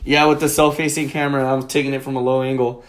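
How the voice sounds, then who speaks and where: A man's voice: mid-range voice, flat delivery, fast talker. A young man co-hosting a casual podcast, rambling and telling stories loosely. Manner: rambling storyteller, acts out quotes. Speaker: podcast co-host. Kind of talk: casual chat.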